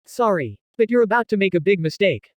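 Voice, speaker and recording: A man playing an eager, carefree teenage boy in a visual novel, talking in animated slang. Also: high-pitched, a little fast, no room sound. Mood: pushy, casual.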